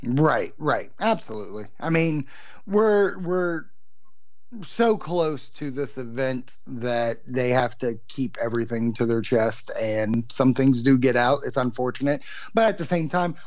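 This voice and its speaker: A man's voice: medium pitch, unhurried, no echo. Agreeable male podcast co-host, dull sound. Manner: agreeable, short replies. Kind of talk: casual podcast chat.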